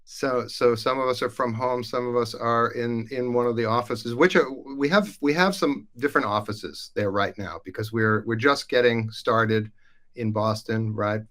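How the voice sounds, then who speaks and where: A man's voice: medium pitch, fairly even intonation, normal speed, a bit bright. A man hosting a live podcast, chatty and upbeat, introducing colleagues. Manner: upbeat, chatty, with frequent fillers. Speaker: host. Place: Denmark.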